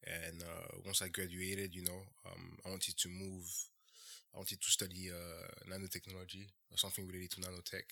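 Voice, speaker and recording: A young man from France with a French accent, a guest telling his story in an interview and pausing often on "uh" and "um". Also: low-pitched, completely flat delivery, gravelly, sharp tone. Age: young adult. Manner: measured, pauses with frequent "uh" and "um". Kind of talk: casual interview talk.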